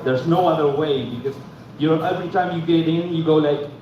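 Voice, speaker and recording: A man from Argentina, doing a deadpan, self-deprecating open-mic stand-up set and talking back to the audience. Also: a little high, a little fast. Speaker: stand-up comedian. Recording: echoey room from far off, dull, noisy.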